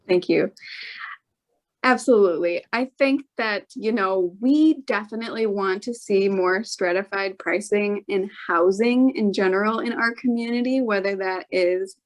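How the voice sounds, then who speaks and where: A woman speaking off the cuff as a political candidate at a forum, careful in her wording and often pausing to rephrase. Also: mid-range voice. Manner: careful, hedging, pauses to reword.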